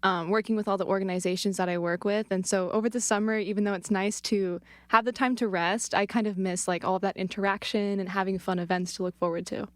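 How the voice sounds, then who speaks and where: A young woman co-hosting a student podcast, relaxed and a bit hesitant, with frequent murmured agreement. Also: medium pitch, fast, hardly any pauses, dry recording. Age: young adult. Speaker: podcast co-host. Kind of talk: casual chat.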